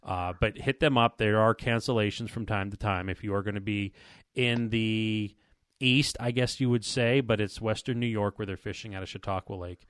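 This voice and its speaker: Male podcast host, lowish pitch, dry close sound, casual rambling talk. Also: fairly even intonation, moderate pace, fairly bright tone, clean tone, dry recording. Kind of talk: casual podcast chat with sponsor reads.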